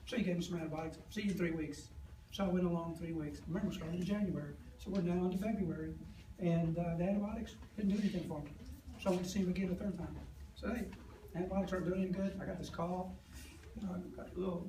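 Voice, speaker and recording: A hoarse man in his fifties telling a personal story to a live audience, earnest and plain-spoken, acting out the voices of the people in it. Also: on the high side. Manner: earnest, plain-spoken storyteller who acts out quoted dialogue. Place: event hall with an audience. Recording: echoey, distant, noisy room recording.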